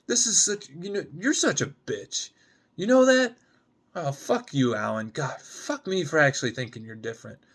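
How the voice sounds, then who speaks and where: A man acts out a corporate guy in his late twenties in a drama reading. He is smooth and polished on the surface, with a crude, defensive streak. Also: a little high, animated, moderate pace, a bit bright, voice pushed up, full character voice. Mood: indignant, crude. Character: suit-and-tie consultant in his late twenties, charming then crude.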